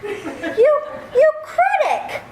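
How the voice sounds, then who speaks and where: A woman's voice: very high-pitched, wildly swooping pitch, drawn-out words, dark tone, exaggerated voice. A woman playing a spirited, indignant young fiancée in an old-time radio play. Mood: indignant, pleading. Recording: echoey room, clear background noise.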